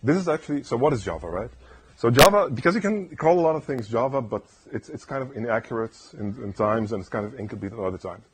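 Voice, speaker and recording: Soft-voiced man rambling through a tech talk. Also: medium pitch, lively intonation, fast, husky. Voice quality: soft, soothing voice. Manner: rambling, keeps asking "right?". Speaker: conference speaker. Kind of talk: casual technical talk. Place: lecture room.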